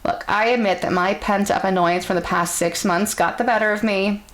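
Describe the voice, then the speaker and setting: A woman's voice: mid-range voice, steady tone, normal speed, a bit bright. Amused woman reading a story aloud. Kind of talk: casual, conversational story reading.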